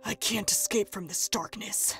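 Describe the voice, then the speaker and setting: A woman's voice: medium pitch, normal speed, a bit bright, slightly rough, soft background music. A woman playing a brooding, heartbroken, melodramatic youth in a comedic roleplay skit. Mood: gloomy, heartbroken.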